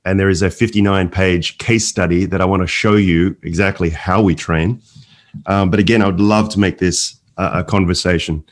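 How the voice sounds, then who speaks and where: A man with an Australian accent speaks in a deep, low register. He is presenting to a conference remotely over a video call, walking listeners through his material as an invited speaker. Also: fairly even intonation, moderate pace. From Malaysia, later Australia. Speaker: investment fund founder and guest speaker.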